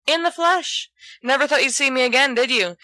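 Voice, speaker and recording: A woman reading a storybook as a bossy, sharp-tongued young woman with a booming, mocking manner. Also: moderate pace, dry recording.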